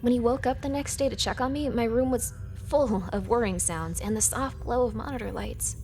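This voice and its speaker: A woman's voice: on the high side, brisk, a little mellow, soft background music, close to an everyday voice. Actress playing a clever, reflective hacker woman. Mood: reminiscing. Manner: reflective storytelling. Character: clever, proud young female hacker telling her past. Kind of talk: scripted game character monologue.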